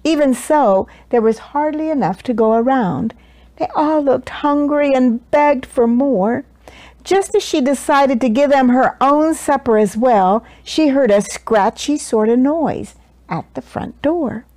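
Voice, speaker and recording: Casting: woman, 60s, expressive children's story reader, dramatic emphasis, close dry lapel-mic sound. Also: high voice, no echo. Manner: deliberate, dramatic emphasis for young listeners. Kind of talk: expressive picture-book read-aloud. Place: small living room, indoors.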